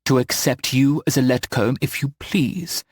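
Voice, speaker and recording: A man's voice: mid-range voice, a little slow, slightly rough, dry recording, clean studio sound. A man reading aloud and voicing a kindly, motherly older village witch who speaks in an encouraging way. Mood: tender.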